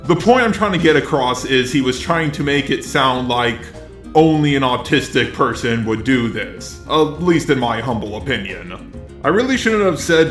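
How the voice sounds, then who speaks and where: A man's voice: a little high, fairly bright tone. Echoey synthetic robot man. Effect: text-to-speech robot voice. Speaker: YouTube commentator responding to criticism. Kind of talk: commentary. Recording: echoey, faint music behind.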